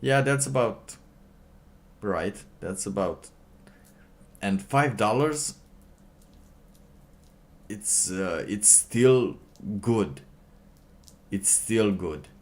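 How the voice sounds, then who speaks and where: A man doing casual livestream commentary, reading aloud and reacting in a halting, sardonic way, often repeating his phrases. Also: lowish voice, lively intonation. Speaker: livestream host.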